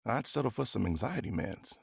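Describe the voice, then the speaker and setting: An actor playing a gentle, grieving young man with casual, dry humor in a horror audio drama. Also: lowish voice, expressive, brisk, gravelly, husky, no echo, exaggerated voice. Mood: tired, wry. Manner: casual, wry.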